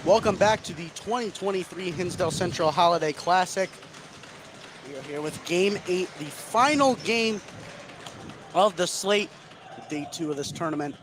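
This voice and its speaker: A man hosting and calling a live high school basketball game as play-by-play commentator. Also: lively intonation, moderate pace, noisy background.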